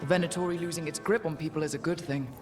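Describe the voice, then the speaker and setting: A woman's voice: low-pitched, fairly even intonation, rapid-fire, music in the background, natural voice. A woman playing a young rogue hero in a video game, casual, direct and wry. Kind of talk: video game character dialogue.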